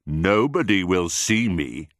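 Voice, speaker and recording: A man's voice: low voice, big pitch swings, takes it slowly, a bit bright, no echo, studio quality, heavily acted. A man voicing an enormous, greedy crocodile in a children's storybook, boastful and sly, gloating over his words. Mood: boastful, scheming.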